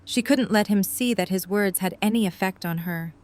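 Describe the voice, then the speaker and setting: A woman narrates a scripted story for an audio drama. Her voice sits at a middle pitch, describing the scene between the characters' lines. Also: moderate pace, darkish tone.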